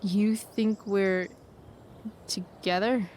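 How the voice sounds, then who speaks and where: Guarded American teenage girl for a game, dry and slangy, very unhurried delivery. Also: mid-range voice, steady tone, a little mellow, slight background noise. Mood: awkward, unsure. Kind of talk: naturalistic video game acting.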